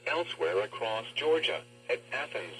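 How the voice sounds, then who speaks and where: Automated male forecast reader, gravelly with animated intonation, played through a small radio speaker. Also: medium pitch, normal speed, over music, poor audio. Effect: weather radio speaker. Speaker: weather radio announcer. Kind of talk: scripted weather forecast bulletin.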